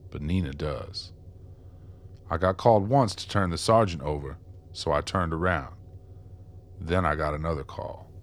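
Man playing weary, very deep-voiced ex-informant. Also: normal speed, long pauses. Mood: earnest. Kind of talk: radio drama acting.

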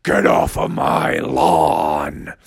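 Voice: extremely low pitch, sing-song, extremely slow, no echo, studio quality, voice pushed down, heavily acted. A parent telling a bedtime story, voicing a grumpy big T-Rex guarding his lawn. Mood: gruff. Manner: gruff, bellowing.

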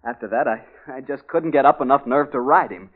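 An American actor playing a sentimental, earnest cowboy film star in a 1940s radio drama, on a tinny vintage recording. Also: male voice, mid-range voice, brisk, close to an everyday voice. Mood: earnest, worried.

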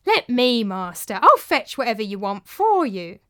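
Woman playing a desperate scheming manservant, high and excitable. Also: moderate pace. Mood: urgent. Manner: urgent, melodramatic, stretched-out words. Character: desperate scheming male servant spinning a ghost tale. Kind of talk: dramatised storytelling.

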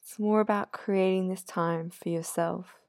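A woman guiding a sleep meditation in a soothing, unhurried, gently instructive manner. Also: lowish voice, fairly even intonation, no room sound, clean studio sound. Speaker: yoga nidra meditation guide. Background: soft sound healing instrumental music.

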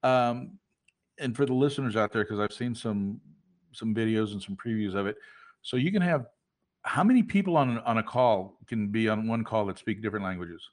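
A man's voice: lowish voice, moderate pace, darkish tone. Joking male interview host. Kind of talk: casual conversational interview.

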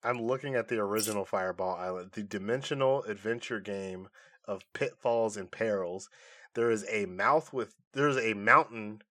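A man's voice: lowish voice, normal speed, sharp tone. A man co-hosting a podcast in a casual, chatty review style. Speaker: podcast co-host. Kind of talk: casual banter reviewing movies and shows.

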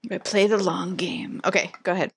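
A woman playing a tabletop game, thinking aloud and halting as she decides. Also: lowish voice, fairly even intonation, gravelly, breathy edge. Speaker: player. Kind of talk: casual tabletop game play.

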